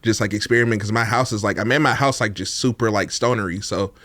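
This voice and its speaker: A man's voice: fairly low pitch, fairly even intonation, quick pace, a bit bright, no room sound. A laid-back man, a podcast guest chatting casually and laughing often, with many fillers. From Oakland, California. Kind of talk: casual interview chat.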